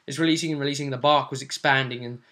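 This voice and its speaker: A man hosting as game master, casually recounting a tabletop roleplay session in an animated storytelling way, often ending on tag questions. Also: mid-range voice, very fast. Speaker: game master and show host.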